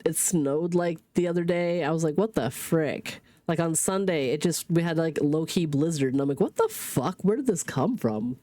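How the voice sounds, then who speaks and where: A woman's voice: sits low, animated, normal speed, few pauses, dark tone, dry recording. A woman in her thirties streaming from home with a stuffy sinus cold, rambling casually, swearing and laughing.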